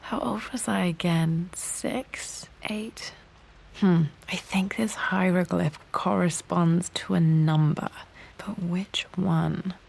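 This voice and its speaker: An actress playing a pensive young British woman explorer in a video game, murmuring to herself in hushed tones as she searches. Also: lowish voice, steady tone, takes it slowly, creaky, husky, exaggerated voice. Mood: musing. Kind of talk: video game cutscene acting, musing to herself.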